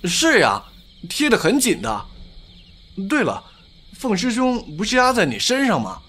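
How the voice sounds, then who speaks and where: Gossipy young male disciple played by a man, audio drama: high-pitched, extremely animated, chatty. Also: unhurried, breathy edge, exaggerated voice.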